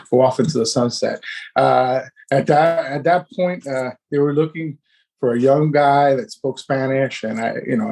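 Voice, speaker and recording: A man in his thirties, born in Cuba and raised in Miami, telling his story as a podcast guest in a relaxed way and laughing now and then. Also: mid-range voice, gravelly. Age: 30s. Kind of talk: casual interview storytelling.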